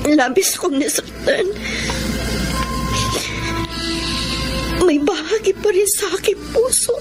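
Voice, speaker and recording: A woman's voice: high voice, poor audio. An actress playing a betrayed, hurt woman who still loves, with emotional, dramatic radio drama delivery. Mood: wounded, tender. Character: wounded, betrayed woman, bitter yet still loving. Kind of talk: classic Tagalog radio drama acting. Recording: loud music under the voice.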